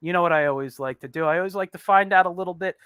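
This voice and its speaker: A man's voice: sits high, racing, fairly bright tone, clean tone, no room sound, studio quality. A man in his forties hosting an online interview show, chatty and upbeat with frequent fillers. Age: 40s. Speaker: host.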